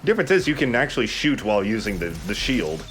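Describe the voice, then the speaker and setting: A man's voice: lowish voice, very animated, fast, a bit bright, slight background noise. A man hosting a let's play, giving casual, joking and sweary commentary while he plays a video game. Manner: joking, profane. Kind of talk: casual gaming commentary with banter.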